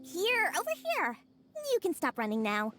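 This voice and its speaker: Sly girlish trader, high voice, very animated, wheedling, smug, video game, music behind. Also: moderate pace. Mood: welcoming. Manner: theatrical, wheedling, smug salesmanship.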